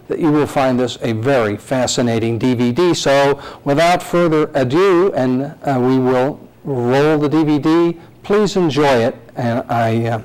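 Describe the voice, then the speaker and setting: A man organizing an event introduces a video to the audience. He speaks in a hesitant way, with frequent fillers. His voice sits mid-pitched. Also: a little mellow, rough recording.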